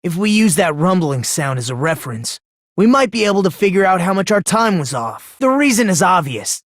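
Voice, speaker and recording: An actor playing an eerily polite, smug high school boy in an anime game dub, talking in a condescending, leisurely, theatrical way. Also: big pitch swings, fairly quick, no room sound, studio quality. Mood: smug explaining. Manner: condescending, leisurely, theatrical, rhetorical tag questions. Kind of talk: anime video game dub acting, deductive monologue.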